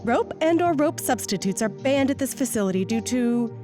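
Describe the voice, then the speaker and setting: A woman's voice: on the high side, animated, moderate pace, natural voice. A woman playing a chirpy, sinister announcer on a mental facility's orientation tape, with a polished, saccharine sales delivery. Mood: falsely cheerful. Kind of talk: scripted promotional video narration. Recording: music under the voice. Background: music.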